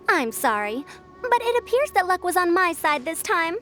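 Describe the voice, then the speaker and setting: A woman's voice: extremely high pitch, big pitch swings, measured pace, over music, heavily acted. A woman voicing a proud, confident female scholar in a video game, with a schoolmarmish, self-assured manner. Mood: pleased, apologetic.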